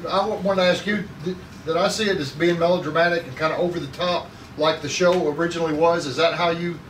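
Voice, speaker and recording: A man's voice: on the high side, fairly even intonation, fast talker, fairly bright tone. A man chatting casually as a cast member at a table read. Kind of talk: casual group chat. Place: room at a group table read. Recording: echoey, very noisy room, distant mic.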